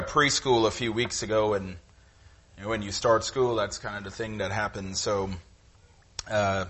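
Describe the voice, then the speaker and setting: A middle-aged man with a Trinidadian accent, preaching a sermon in a conversational, halting way with frequent fillers. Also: on the low side, a bit bright. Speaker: guest preacher.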